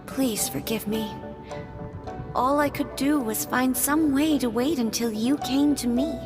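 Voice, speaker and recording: Gentle, high-voiced goddess spirit. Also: female voice, big-room sound. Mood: gently apologetic. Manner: gentle, tender, unhurried. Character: gentle departed goddess spirit, wise, serene, affectionate elder sister. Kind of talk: video game voice acting. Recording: music behind the voice.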